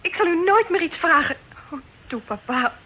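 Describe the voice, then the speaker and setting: A woman's voice: extremely high pitch, expressive, normal speed, bright, forceful tone, heavily acted. An actress in a Dutch radio drama playing a pirate's sweet young daughter, earnest, girlish and breathlessly pleading. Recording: noisy old radio recording.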